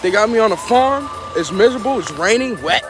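A man's voice: sits high, expressive, a little fast, over music. A man in his thirties, a truck driver talking to his phone at arm's length, exasperated and chatty, often saying bro. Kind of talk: casual vlog to camera. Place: outdoors at farms and loading docks, and in a truck cab. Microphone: phone held at arm's length.

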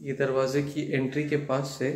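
A man in his thirties giving a casual walkthrough of an apartment, talking slowly and hesitantly with many fillers, filmed on a handheld phone. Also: medium pitch, monotone, darkish tone. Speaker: property tour guide. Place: terrace and empty apartment rooms outdoors and indoors. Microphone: phone camera held at arm's length.

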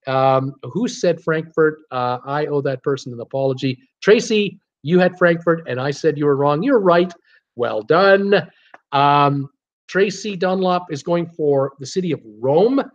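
A man's voice: fairly high pitch, measured pace. A man in his sixties hosting a casual livestream quiz from a home office, reading out guesses and answering himself in short, clipped phrases. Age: 60s. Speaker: livestream host. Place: small home office, indoors. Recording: slightly dull webcam audio.

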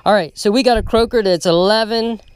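A man hosting a fishing vlog outdoors, talking to viewers in an excited, casual way as he fishes. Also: high voice, brisk, dry recording, studio quality. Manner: excited, talks straight to viewers. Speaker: fishing channel host. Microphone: handheld camera mic. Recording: outdoor camera audio, clear background noise.

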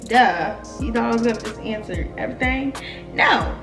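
A woman's voice: fairly high pitch, normal speed, far from the mic. Young woman vlogging a q&a, blunt and playful, slangy, echoey room with music going. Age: 20s. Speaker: YouTuber answering fan questions. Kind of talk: casual Q&A vlog. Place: indoor room near stairs. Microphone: camera mic, filmed from below.

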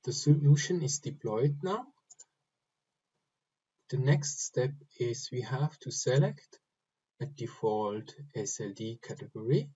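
A man giving step-by-step instructions for a software screen recording, speaking in short, halting phrases. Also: medium pitch, expressive, slightly rough, highs cut off. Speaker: software tutorial presenter. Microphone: voice-over recorded over screen capture.

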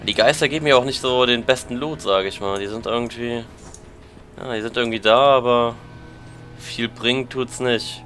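A man's voice: mid-range voice, fairly even intonation, normal speed, fairly bright tone, faint music behind. A German man with a lingering cold, chatting casually as he plays and comments on a game, thinking aloud. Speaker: let's play commentator.

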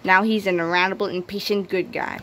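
A woman narrates a children's book in a read-aloud style. Her voice sits at a middle pitch. There is a light layer of noise, as on a handheld recording made indoors. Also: steady tone, brisk, a bit bright.

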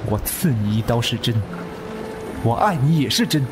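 A man plays a young martial-arts lord in a wuxia audio drama. He sounds earnest and commanding, with a ruthless streak. Music plays clearly behind him. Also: medium pitch, animated, moderate pace, lightly acted. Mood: earnest, intense. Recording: music under the voice.

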